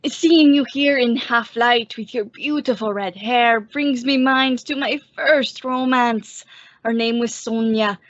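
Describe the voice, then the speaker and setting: Old immigrant professor, played by a woman, high-pitched, teasing and courtly, radio comedy, dry lo-fi sound. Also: takes it slowly, no echo. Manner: mock-flattering, drops teasing insults. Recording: dull lo-fi recording.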